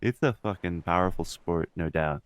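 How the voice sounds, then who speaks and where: Male podcast co-host. Low-pitched, informal and slangy, recorded very dry. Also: normal speed, no room sound. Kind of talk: casual, slangy podcast chat.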